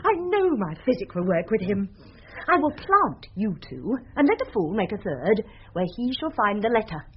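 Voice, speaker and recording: A woman's voice: mid-range voice, big pitch swings, normal speed, natural voice. An actress playing a sly, witty, mischievous serving woman in British radio Shakespeare from the 1970s. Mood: gleeful scheming. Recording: dull, noisy old radio recording.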